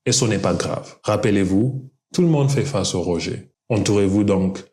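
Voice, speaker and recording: A young Kenyan man with a Kenyan accent and Luo as his first language delivers a scripted monologue in French. His voice sits fairly low, and he speaks in a calm, measured, earnest way. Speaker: video creator.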